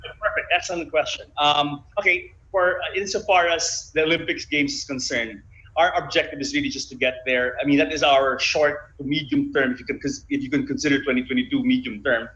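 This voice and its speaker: Through muffled, low-fidelity call audio, a man with a moderately high voice talks as an interview guest. He lays out his answers in an articulate, explanatory, conversational way. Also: fairly even intonation, a little fast, bright, forceful tone, roomy sound, a little hiss or hum. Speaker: guest, bobsled team president. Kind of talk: casual interview talk. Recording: dull, lo-fi online call audio.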